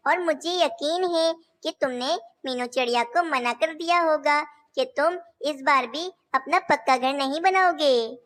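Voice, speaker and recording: A woman's voice: sits high, a little slow, hardly any pauses, fairly bright tone. A woman voicing young female cartoon birds, caring and a little bossy, in a childlike, declamatory way. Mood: questioning. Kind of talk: Hindi animated children's story dialogue.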